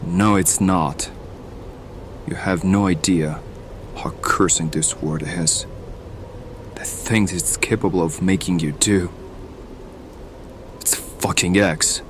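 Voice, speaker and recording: Man acting a deep, slow, bitter mercenary. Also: fairly even intonation, a little hiss or hum. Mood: grim, reluctant. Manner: sardonic, grumbling, coarse-mouthed. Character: bitter mutant mercenary man, haunted and reluctant, world-weary. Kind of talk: audio drama acting. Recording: music under the voice.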